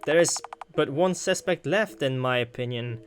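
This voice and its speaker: A man's voice: medium pitch, moderate pace. Male gaming streamer, exclamatory, sweary, stretched emphatic words, noisy recording. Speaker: gaming streamer reading a visual novel aloud. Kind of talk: animated let's play commentary with voiced reading.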